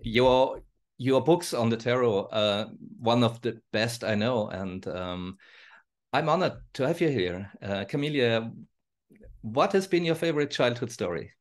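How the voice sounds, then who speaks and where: Guy hosting a video chat interview, calm formal intro, average voice. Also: mid-range voice, a little slow. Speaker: host. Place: home study with bookshelves. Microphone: video call.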